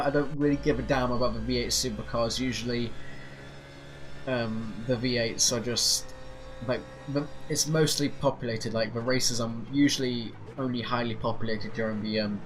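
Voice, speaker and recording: A man rambling hesitantly with lots of fillers as he drives a racing sim, his nose stuffy from a cold. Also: medium pitch. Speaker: sim racing channel host. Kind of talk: casual rambling commentary while driving a racing sim. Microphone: off-center desk mic. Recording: clear sim engine noise behind. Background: racing game engine noise.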